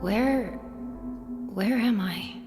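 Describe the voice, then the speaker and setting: An actress playing a frightened, questioning woman in danger in an audio drama, halting and urgent. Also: medium pitch, music in the background. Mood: dazed.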